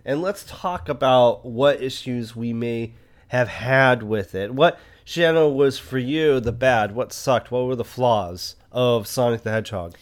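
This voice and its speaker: A man's voice: mid-range voice, measured pace. Man chatting as a podcast co-host. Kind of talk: casual film review chat.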